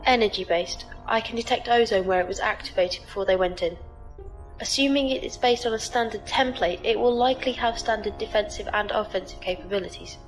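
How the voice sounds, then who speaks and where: A woman voicing a formal, obedient female ship AI who serves as a squire, reporting in a precise, flat way. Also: mid-range voice, a little fast, soft background music, close to an everyday voice, low-quality recording. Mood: dutiful. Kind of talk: audio drama acting.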